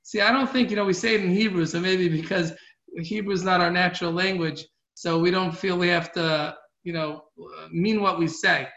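This is a middle-aged man with a high-pitched, forceful voice, teaching an informal religious class. He speaks earnestly and haltingly, often repeating a phrase before finishing the thought. The recording is echoey and somewhat muffled. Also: steady tone. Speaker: rabbi giving a class. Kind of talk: informal religious lecture with question and answer.

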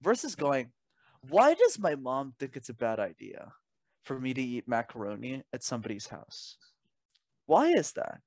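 A man's voice: mid-range voice, very animated, normal speed, darkish tone, dry recording. A young man speaks as an interview guest in a mid-range voice. He is articulate and emphatic, mixing advice with personal stories and rhetorical questions. The sound is dry, with very little room in it. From Canada. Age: young adult. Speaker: interview guest, communication coach. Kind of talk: conversational advice and personal anecdotes.

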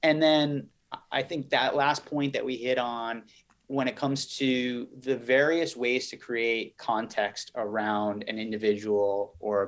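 An articulate man with a mid-pitched voice answers as a guest in an interview. He explains his points in polished business vocabulary, like a company executive talking to peers.

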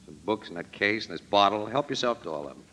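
Wisecracking american crime photographer, bright punchy voice, swings up and down, old radio show. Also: male voice, lowish voice, lively intonation, fast talker, a little hiss or hum. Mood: wry, casual. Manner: slangy, breezy, wry. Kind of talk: old-time radio crime drama acting.

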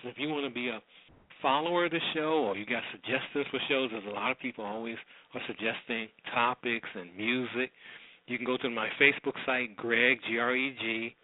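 A man's voice: medium pitch, no room sound. A middle-aged American man hosting a radio show, rambling conversationally through a casual, unscripted sign-off. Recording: roomless, dry, tinny phone-like line.

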